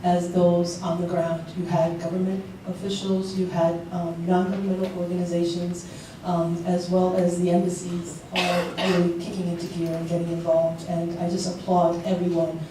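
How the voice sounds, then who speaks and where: A woman's voice: lowish voice, monotone, normal speed, dark tone, slight background noise. A woman from Liberia speaking earnestly on a panel to a packed room, with many ums and restarts. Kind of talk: panel remarks. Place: large packed event room. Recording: echoey hall, voice picked up from far off.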